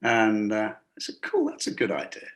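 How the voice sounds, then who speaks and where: A man's voice: lowish voice, huge pitch swings, moderate pace, a bit bright. A man as an interview guest, a hospitality business coach, telling workplace stories in a rambling conversational way, with many restarts and acted-out quotes. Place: lives and works in a Gulf city.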